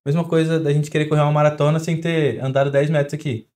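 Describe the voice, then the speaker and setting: A young adult man with a Brazilian Portuguese accent, a doctor guest on a podcast, explaining with everyday examples in a quick, chatty way. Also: a little high, little pitch movement, darkish tone. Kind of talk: podcast interview.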